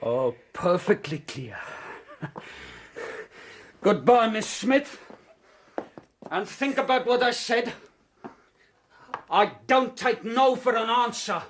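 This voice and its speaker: A man's voice: very high voice, animated, slow, pauses often, a bit bright, slight background noise, full character voice. An actor playing a leering, drunken British man who forces his attentions on a young woman, sneering and laughing. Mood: mocking. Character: leering drunken male suitor, middle-aged, menacing. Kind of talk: radio drama acting.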